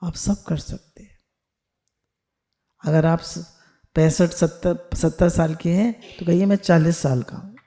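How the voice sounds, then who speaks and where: A woman's voice: fairly low pitch, fairly even intonation, measured pace, pauses often, warm tone. A woman spiritual teacher on a video call, leading listeners through affirmations they repeat after her, mixing Hindi with English phrases.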